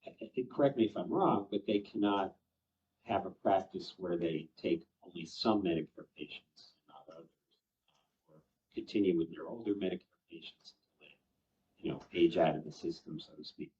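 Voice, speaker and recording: Deep-voiced older man, distant and muffled. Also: moderate pace, a little mellow. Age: over 65. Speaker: audience questioner, former physician. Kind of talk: unscripted audience question. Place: conference summit event. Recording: muffled, distant event microphone.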